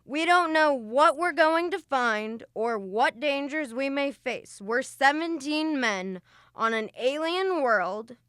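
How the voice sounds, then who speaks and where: A woman voices a stern spaceship captain in an old-style radio drama, barking clipped commands. The voice is bright, crisp and fairly high. It is unhurried and recorded dry and close. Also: no room sound, full character voice. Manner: clipped, authoritative orders. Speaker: student radio drama actor.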